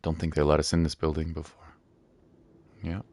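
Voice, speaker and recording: A man's voice: rumbling low, completely flat delivery, moderate pace, music in the background. A man streaming a game with casual, laid-back commentary, musing aloud as he plays.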